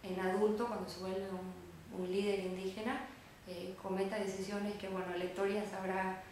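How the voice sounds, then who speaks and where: A woman in her thirties with a Bolivian Spanish accent, giving reflective, somewhat halting interview answers as a writer. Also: mid-range voice, level tone, darkish tone. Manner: reflective, halting, often seeking confirmation with tag words. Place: small indoor room with bare wall, seated. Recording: very echoey room, faint noise.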